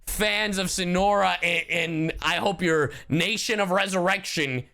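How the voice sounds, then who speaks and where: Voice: medium pitch, a little slow, pushed and bright. A streamer giving casual live commentary in an exasperated, slangy, profanity-heavy way. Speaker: streamer reacting to game voice lines.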